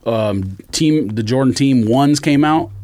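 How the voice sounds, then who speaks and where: A man's voice: mid-range voice, a little slow, darkish tone, no echo. A man chatting casually on a podcast panel, with slangy, argumentative banter. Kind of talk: casual sneaker chat.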